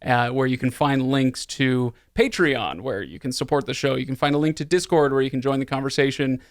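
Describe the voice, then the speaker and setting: A man hosts a casual podcast in a medium-pitched voice. He banters in a tongue-in-cheek, joking way, and the sound is close and dry, with no room to it. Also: fairly quick, no echo. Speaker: podcast host.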